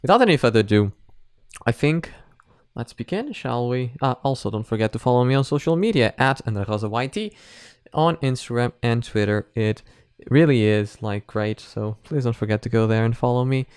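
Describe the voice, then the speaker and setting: A man's voice: mid-range voice, animated, a little fast, a little mellow, clean tone, no echo, clean studio sound. A man giving casual, informal commentary as host of a reaction video. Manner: laughs often, informal.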